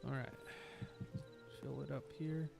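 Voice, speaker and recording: A man's voice: mid-range voice, flat delivery, a little slow, creaky. A man casually rambling through commentary while he plays a building game, with a lingering cough that keeps breaking in. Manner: chatty, rambling and upbeat. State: nagging cough. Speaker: gaming streamer. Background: game music.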